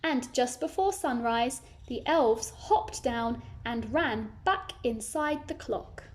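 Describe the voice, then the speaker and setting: A woman's voice: fairly high pitch, lively intonation, slow. A woman reading a children's story aloud, narrating expressively and adding simple asides for young listeners.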